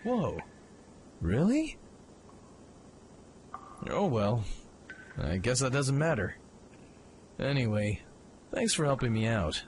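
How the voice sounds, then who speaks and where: Man playing a brash, animated young pilot. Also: mid-range voice, takes it slowly, pauses often. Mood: astonished. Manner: brash, cocky, exclamatory. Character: Bart, brash excitable young male mech pilot. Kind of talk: fan radio-play acting. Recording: clearly noisy background ambience.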